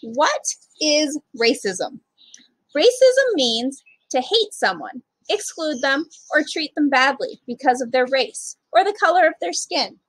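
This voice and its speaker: A woman's voice: sits high, big pitch swings, unhurried, dry recording, poor audio. A woman reading aloud to young children as a storytime reader, speaking to them directly in simple, child-directed phrasing.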